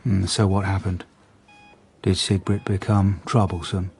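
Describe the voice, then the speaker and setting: An actor playing a reserved, methodical and quietly humane police detective in a radio drama, speaking in a terse, understated way. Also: male voice, low-pitched, level tone, measured pace, gravelly, a little hiss or hum. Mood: quiet probing.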